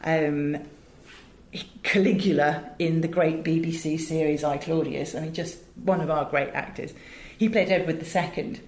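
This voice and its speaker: An English woman in middle age speaks in a fairly low voice with a roomy echo around it. She is articulate and talkative, telling stories in a conversational interview style and wandering into asides. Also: fairly even intonation, normal speed, darkish tone. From England. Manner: chatty, digressive, articulate. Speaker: interview guest, voice director and radio producer. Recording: echoey, roomy sound.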